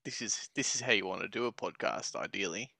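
A man co-hosting a casual podcast, sarcastic and quick to swear and laugh. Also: on the low side, animated, vocal fry, bright, forceful tone, no room sound, clean studio sound. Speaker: podcast co-host. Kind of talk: casual chat.

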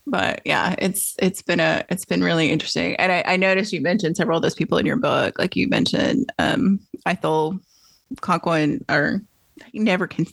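A woman's voice: on the low side, normal speed, creaky, no room sound. A woman hosting a podcast interview, chatting in a rambling, casual way. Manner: chatty, rambling, many "like"s and restarts. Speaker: podcast host.

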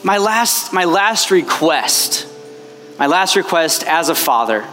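Impassioned priest in a reverberant hall. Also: male voice, a little high, sing-song, slow pace, sharp tone. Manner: impassioned, chatty with many fillers. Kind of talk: preaching to a retreat crowd. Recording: long hall reverb, faint background noise.

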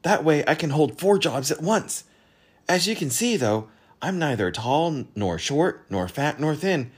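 A man voicing a proud, absent-minded ordinary fellow who talks brightly and eagerly. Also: fairly high pitch, sing-song, unhurried, breathy edge, pitched above the performer's own voice, exaggerated voice. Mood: confiding. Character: chirpy, absent-minded ordinary man posing as four oddities.

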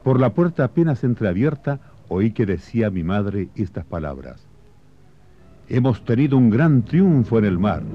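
A man playing a solemn storyteller, narrating patriotic history and memories in a measured, literary radio drama style. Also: lowish voice. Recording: muffled radio broadcast, faint music behind.

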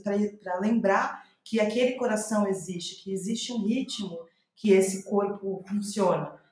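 A Brazilian woman talks reflectively about her own writing in a clearly echoey room. Her pitch sits in the middle, and she speaks thoughtfully, searching for the right words. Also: a little mellow. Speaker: playwright, guest. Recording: roomy, echoing sound.

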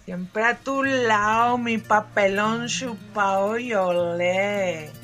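A woman's voice: medium pitch, drawn-out words, fairly bright tone, soft background music. A woman playing a sassy, teasingly affectionate wife at a bar in a humorous radio soap, brash, with an accent from Spain. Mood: flirty. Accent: Spanish, from Spain.

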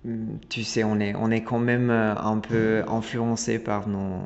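A man talks in a low, gravelly voice. He restarts his sentences, and he stalls on fillers. The recording sounds dull and lo-fi, with a long reverb trailing behind him. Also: little pitch movement, a little slow. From Italy. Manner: hesitant, many restarts and fillers. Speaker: guest designer. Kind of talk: casual interview talk.

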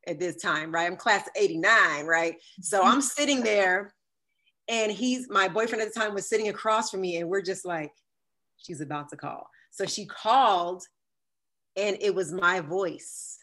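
Woman in her fifties, deep sultry voice, animated storyteller, podcast guest. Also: moderate pace. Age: 50s. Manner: animated, acts out quoted dialogue. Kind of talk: storytelling in a conversational interview.

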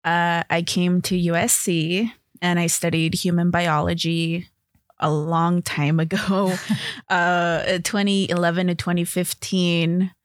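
A woman's voice: fairly low pitch, level tone, slow pace, no room sound, clean studio sound. Chatty, laughing woman in her thirties. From Los Angeles. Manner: chatty, laughs often, many "like" fillers. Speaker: guest, TV comedy writer. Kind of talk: casual interview chat.

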